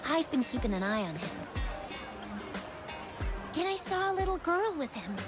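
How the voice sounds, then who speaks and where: A woman's voice: high voice, very animated, normal speed, music in the background. An actress playing an alert, dutiful young woman in a video game, reporting in a matter-of-fact way. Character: alert, dutiful young female watcher helping the hero. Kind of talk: video game character acting.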